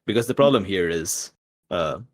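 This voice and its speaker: A man with a low voice talks through a tabletop game as a player. His delivery is casual but emphatic, restating points for stress, and the sound is dry. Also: expressive, moderate pace, no echo. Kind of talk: casual out-of-character game chat.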